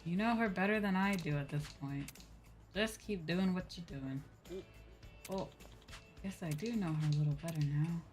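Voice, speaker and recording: A woman's voice: fairly low pitch, moderate pace, long pauses, a little mellow. A woman chatting casually and expressively as she commentates a game livestream. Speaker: streamer. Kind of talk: casual game livestream commentary, reading on-screen dialogue aloud. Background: game music.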